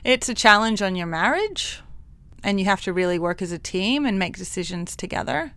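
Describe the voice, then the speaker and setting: A woman's voice: mid-range voice, expressive, moderate pace, clean tone, no room sound. Laughing woman psychologist, mixed accent. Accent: mixed international. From Hong Kong. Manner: warm, laughs often, frank. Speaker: clinical psychologist guest. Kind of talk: interview conversation.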